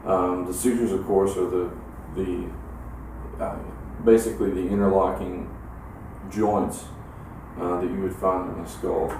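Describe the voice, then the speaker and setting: Male academic, deep, measured and halting, distant mic, heavy room echo. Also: little pitch movement, a little mellow. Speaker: academic expert giving an opinion. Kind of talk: unscripted expert explanation. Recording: echoey room, distant mic, clear noise.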